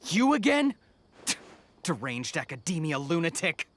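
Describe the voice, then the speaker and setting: A man's voice: fairly high pitch, very animated, measured pace, fairly bright tone, exaggerated voice. A man playing a menacing, boastful mercenary zealot in a video game, with a theatrical, sneering delivery. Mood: angry, threatening. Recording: music behind the voice.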